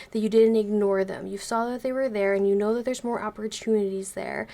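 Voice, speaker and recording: A woman's voice: on the high side, darkish tone. Congested woman reading tarot cards. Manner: chatty, rambling, many fillers. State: congested, recovering from illness. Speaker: tarot reader. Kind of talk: casual tarot reading to camera.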